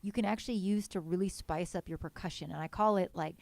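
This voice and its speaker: A young woman with a low voice, casually giving detailed, enthusiastic music mixing feedback on a live stream. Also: quick pace, creaky, darkish tone, dry recording. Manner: enthusiastic, detailed mixing tips with many fillers. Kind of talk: casual technical feedback chat.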